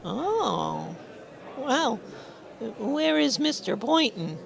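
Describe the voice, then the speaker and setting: A woman's voice: sits high, sing-song, extremely slow, gravelly, crowd noise, exaggerated voice. A woman playing an eager, gossipy teenage schoolboy in a radio comedy, with hesitant starts and exclamations. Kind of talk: old-time radio comedy acting.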